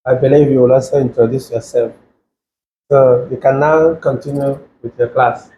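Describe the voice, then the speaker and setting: A man's voice: medium pitch, steady tone, moderate pace, roomy sound, muffled recording, a little hiss or hum. A male lecturer politely thanking students and handing over to the presenters. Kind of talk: classroom hosting.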